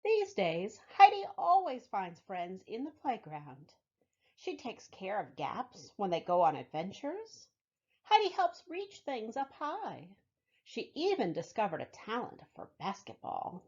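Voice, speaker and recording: A woman reading a picture book aloud for young children, with expressive, deliberate phrasing, as a library storytime reader. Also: medium pitch, breathy edge, highs cut off.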